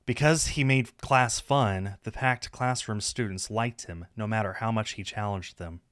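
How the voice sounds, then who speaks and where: A man with a fairly low voice narrates a story. The sound is dry and close, with little room around him, as he reads scripted prose aloud. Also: measured pace, a bit bright, no room sound. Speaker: streamer reading a visual novel aloud.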